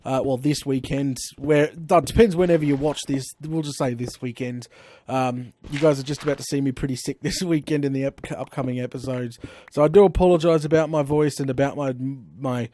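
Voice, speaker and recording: An Australian man chatting casually during a live stream of his game, rambling through stories with lively slang exclamations. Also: medium pitch, brisk, a little hiss or hum. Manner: chatty, animated storytelling with frequent slang exclamations. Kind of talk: rambling casual chat while playing.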